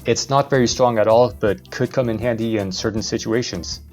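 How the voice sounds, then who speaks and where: A man's voice: medium pitch, fairly quick, darkish tone, soft background music. A young man hosting a vlog, narrating a casual product review.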